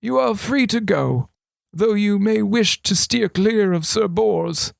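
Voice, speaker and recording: A man voicing an old male wizard regent who is formal, paternal and dryly playful, speaking slowly and deliberately. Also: high voice, lively intonation, creaky, dry recording, voice pushed up, heavily acted. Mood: wry. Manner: slow, deliberate, grandly formal. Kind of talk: audiobook character voice.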